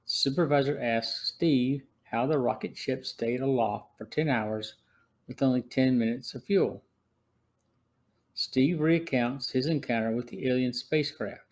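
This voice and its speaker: A man's voice: medium pitch, a little slow. A man hosting a podcast and reading a scripted review of old radio episodes. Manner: steady, even read-aloud delivery. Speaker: podcast host.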